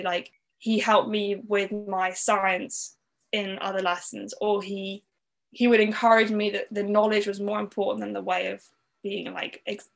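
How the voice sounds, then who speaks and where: A woman's voice: mid-range voice, creaky. A young woman raised in the Netherlands, speaking with a Southern English accent, telling her story as a guest in a podcast interview in a chatty way full of "like". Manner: chatty, frequent "like" fillers.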